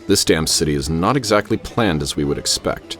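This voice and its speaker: An adventurous professor narrating a radio play. His low voice moves up and down with theatrical flair, and music runs behind it. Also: lively intonation, fairly bright tone. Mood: wary, commanding. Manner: measured, literary, theatrical storytelling. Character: adventurous, wary gentleman professor recounting his exploits. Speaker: narrator and lead character. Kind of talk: radio theater, dramatic first-person narration.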